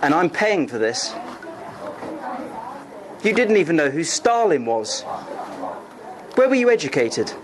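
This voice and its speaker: A man's voice: on the high side, very animated, unhurried, long pauses, crowd noise, low-quality recording. A man, a student, angrily confronting a lecturer over his grade with accusatory ranting.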